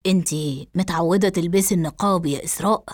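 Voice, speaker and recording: A woman voicing a calm, probing male police investigator in an audio story, measured and questioning, with an Egyptian accent. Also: mid-range voice, no room sound, clean studio sound, pitched below the performer's own voice, natural voice.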